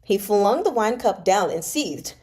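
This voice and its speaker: A woman's voice: medium pitch, very animated, measured pace, darkish tone. A woman hosting a read-along, mixing novel narration with casual, playful commentary. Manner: playful, giggly asides. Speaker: live read-along host.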